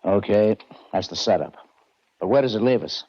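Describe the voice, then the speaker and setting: A fairly deep-voiced police detective in a vintage radio drama. He is gruff and skeptical, speaking in matter-of-fact slang. The recording is old and dull. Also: lively intonation, normal speed, dark tone, dry recording. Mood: skeptical, mulling. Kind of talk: 1940s radio drama acting.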